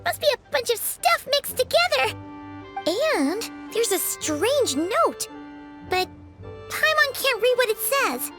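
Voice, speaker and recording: This is a tiny floating fairy companion from a video game, excitable and quick to worry. The voice sits extremely high, bright and piercing, and leaps around with lively melody. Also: female voice, soft background music, full character voice. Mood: curious. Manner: talks about herself in third person, quick to worry.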